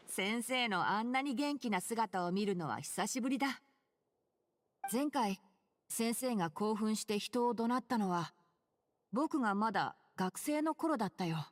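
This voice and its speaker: A woman voicing a calm, amiable young man in an anime game, gentle and amused. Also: fairly high pitch, normal speed, pauses often, close to an everyday voice. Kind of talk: Japanese anime game voice acting.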